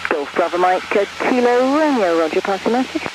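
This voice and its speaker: Clear noise surrounds a woman speaking over aviation radio. She is an air traffic controller with a medium-pitched voice, issuing instructions to pilots. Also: lively intonation, fairly quick. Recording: noisy aircraft radio transmission.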